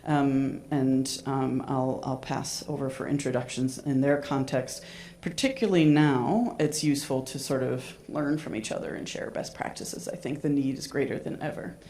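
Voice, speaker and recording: A woman's voice: rumbling low, moderate pace, mellow, a little hiss or hum. A woman moderating a conference panel, hesitant and full of ums and restarts. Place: conference room with live and virtual audience.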